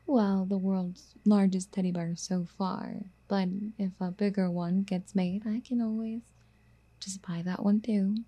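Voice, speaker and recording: A woman playing a doting, possessive rich girlfriend in an ASMR roleplay, soft-spoken and coaxing, often slipping into whispers. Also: mid-range voice, steady tone, unhurried, natural voice. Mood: coaxing, eager.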